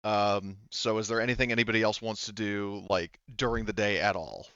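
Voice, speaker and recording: A man with a fairly low, smooth voice runs a tabletop game. He talks informally, feeling out his phrases as he goes. The sound is dry and slightly dull, as from a close microphone. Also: steady tone, fairly quick, pushed and bright, no room sound. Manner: informal, improvised, hesitant phrasing. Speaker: game master. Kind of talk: casual tabletop roleplaying game narration.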